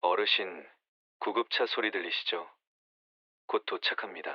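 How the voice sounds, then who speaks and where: A man voicing a calm, formal emergency dispatcher heard over the phone in an audio drama. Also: sits low, moderate pace, husky, no room sound. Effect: phone line. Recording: dull phone-line sound.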